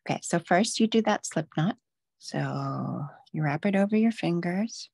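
A woman's voice: fairly low pitch, animated, unhurried, vocal fry, a little mellow, husky, dry recording, clean studio sound. A woman patiently walking viewers step by step through knitting stitches in a live online demo. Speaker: knitting instructor.

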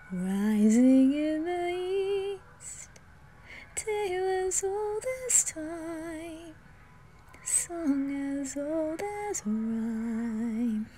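A woman softly singing a slow ballad. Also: very high voice. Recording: music behind the voice. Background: soft music.

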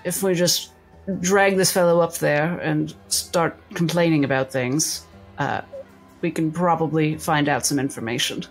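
A woman voicing a shrewd, imperious lady doctor with a genteel, measured way of talking in a tabletop game. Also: sits low, fairly even intonation, soft background music, rough recording. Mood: shrewd, composed.

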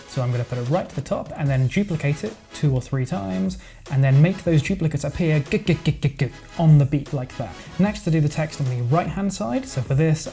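A man's voice: a little high, dark tone. Casual man explaining editing steps. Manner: casual, explanatory, thinks aloud with verbal sound effects. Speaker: video editing tutor. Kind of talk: screen-recorded software tutorial narration. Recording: voice-over with music playing underneath.

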